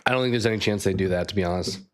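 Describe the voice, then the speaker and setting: A man streaming a card game live, thinking aloud and chatting with viewers, his voice a bit raspy. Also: fairly low pitch, very fast. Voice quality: slightly raspy. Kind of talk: casual live gameplay chat.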